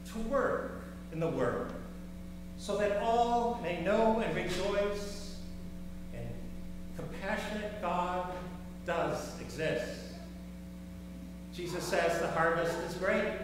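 Older pastor preaching slowly in echoey church. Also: male voice, fairly high pitch, far from the mic. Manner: slow, measured preaching with rising emphasis. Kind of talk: sermon. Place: large church sanctuary, at a pulpit. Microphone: far camera in the sanctuary. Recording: echoey church hall from afar, background hum.

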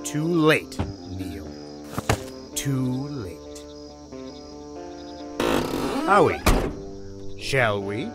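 A man playing a menacing, smooth-talking crooked federal agent in a 1920s audio drama, taunting and mock-polite. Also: medium pitch, very animated, extremely slow, full character voice. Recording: long reverberant hall sound, faint music behind.

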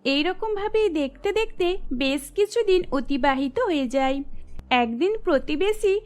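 A woman with a very high voice narrates a cartoon story. Her delivery is even and storybook-like, with soft music under it. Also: measured pace.

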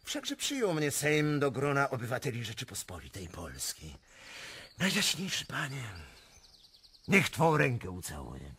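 An imperious, wildly swinging Russian prince. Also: male voice, mid-range voice, huge pitch swings, unhurried, sharp tone, full character voice. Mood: courtly, persuasive. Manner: theatrical, swinging from barking orders to courtly smoothness. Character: imperious, volatile Russian prince, flattering yet domineering. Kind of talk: radio drama acting.

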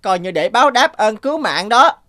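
Assured magical toad helper. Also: female voice, fairly high pitch, big pitch swings, normal speed, sharp tone. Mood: helpful, assured. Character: wise grateful talking toad granting magical help to a prince. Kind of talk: cartoon fairy-tale dubbing.

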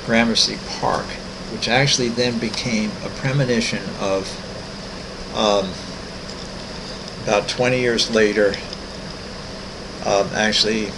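A man in his sixties, an artist walking through his website, talking casually with many ums, pauses and restarts. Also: on the low side, steady tone, background noise. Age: 60s. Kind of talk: casual screen-recorded walkthrough.

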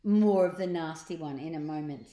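A woman in her sixties reading a children's story aloud expressively and deliberately, with asides to young listeners. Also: sits low, mellow. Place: large open living room, indoors. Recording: roomy, echoing living room.

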